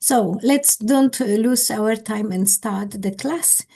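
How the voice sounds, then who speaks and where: A woman with a Polish accent, leading an online English conversation class as its teacher, with careful, halting non-native phrasing. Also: on the high side, warm tone, slight background noise. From Poland. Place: indoors on a video call.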